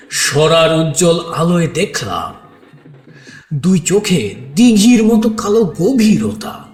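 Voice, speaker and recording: A man playing a shy, doubt-haunted, tender bridegroom who narrates an audio story slowly and emotively, with dramatic pauses. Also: high-pitched, heavily acted. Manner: slow, hushed, emotive delivery with dramatic pauses. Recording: echoey studio reverb under clear music.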